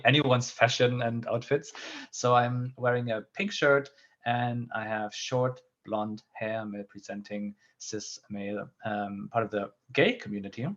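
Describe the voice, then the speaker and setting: A man's voice: medium pitch, fairly bright tone, muffled recording. A man with a German accent, a therapist and coach, introducing himself in an upbeat, chatty way on an online panel. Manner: upbeat, chatty, self-deprecating. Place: home room.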